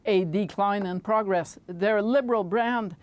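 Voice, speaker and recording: A woman commentator speaks in a measured tone, setting out rhetorical questions about politics. The room sounds dry and close. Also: mid-range voice, darkish tone, dry recording. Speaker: political panelist. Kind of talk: political panel discussion.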